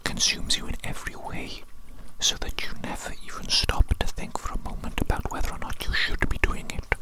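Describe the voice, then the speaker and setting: A performer whispering an ASMR roleplay as a megalomaniac evil scientist, theatrical and deliberate, often repeating phrases. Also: poor audio. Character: megalomaniac evil scientist doting on his creation.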